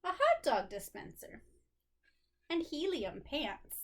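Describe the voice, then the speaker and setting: A woman teacher reading a children's picture book aloud expressively, with deliberate dramatic phrasing and stretched key words. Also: sits high, wildly swooping pitch, distant mic.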